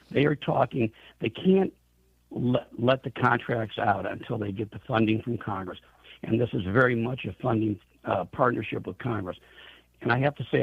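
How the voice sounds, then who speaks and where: A man's voice: mid-range voice, creaky, breathy edge, no echo. A man speaking as an interview guest on the radio, making his case emphatically with pointed rhetorical questions. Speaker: interview guest, airline trade association chief executive. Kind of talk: radio interview, advocacy answers. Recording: tinny narrow phone line.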